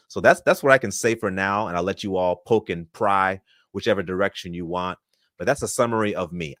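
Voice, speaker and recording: Low-voiced man, polished guest. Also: fairly bright tone, dry recording, studio quality. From Florida, USA. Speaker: podcast guest. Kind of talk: conversational interview answers.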